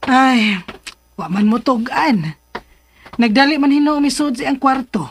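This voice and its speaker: A woman playing a concerned, patient middle-aged mother with a husky voice in a Filipino radio drama. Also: high voice, unhurried, a little hiss or hum.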